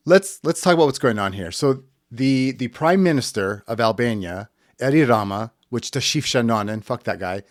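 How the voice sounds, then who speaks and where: A man hosts a political podcast in a blunt, furious tone, swearing freely as he talks. His pitch sits in the middle range, and the close mic sounds dry, with no room around it. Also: no room sound. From United States. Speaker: podcast host. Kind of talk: impassioned political commentary.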